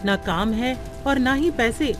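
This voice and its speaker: A woman's voice: a little high, measured pace, soft background music. A woman narrating a scripted cartoon story in a storybook style.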